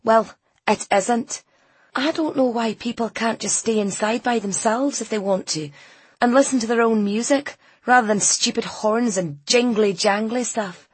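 A female narrator acts out a sullen teenage village girl in a storybook, pitched up from her own voice. She is sarcastic and dismissive, drawling her emphasis with a husky edge. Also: a little slow, no echo. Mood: sullen disdain.

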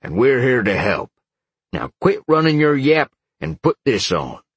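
A man voicing blunt, confident rebels in a fantasy story, wry and matter-of-fact, with a husky voice. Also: medium pitch, very animated, measured pace, no room sound, voice pushed up. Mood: brisk, impatient.

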